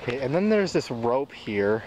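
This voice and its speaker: A young man narrates his walk through an abandoned tennis court, chatty and rambling as he points things out. His voice sits in a mid range for a man. It comes through a handheld camera mic outdoors, with clear background noise all around. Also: mid-range voice, big pitch swings, normal speed. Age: young adult. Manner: rambling, chatty, laughs often. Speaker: vlogger. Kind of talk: casual exploring vlog.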